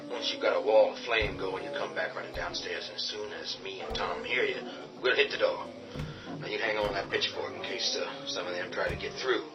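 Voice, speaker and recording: Actor as commanding male survivor, low-pitched, curt, decisive; distant mic with loud score. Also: natural voice. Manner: gives curt orders.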